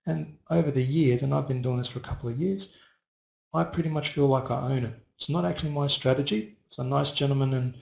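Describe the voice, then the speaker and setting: Male host, loose and chatty, talks to viewers by name; gravelly voice, roomy echo. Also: mid-range voice, a little fast, a little mellow. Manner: chatty, rambling, addresses viewers by first name. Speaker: trading webinar host. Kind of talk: casual live webinar talk answering viewer chat.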